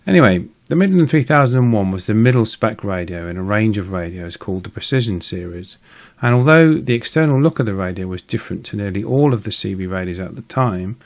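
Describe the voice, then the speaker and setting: A British man with a fairly low voice narrates as the host of a hobby repair channel. He explains each point in a matter-of-fact way, with the informal feel of someone talking through hands-on work.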